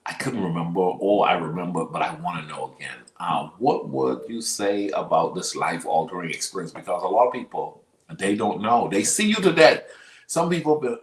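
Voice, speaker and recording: Deep-voiced Bahamian man in an echoey room. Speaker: interview show host. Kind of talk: conversational interviewing. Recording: roomy, echoey sound.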